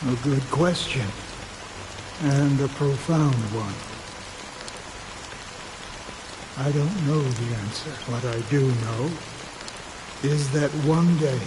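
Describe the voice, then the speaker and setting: An actor playing a solemn, weary war veteran who guides lost souls, speaking gravely and reflectively in a video game cutscene. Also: male voice, medium pitch, slow, long pauses, close to an everyday voice. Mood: gentle, reflective. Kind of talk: dramatic game cutscene acting. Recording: steady rain noise behind the voice.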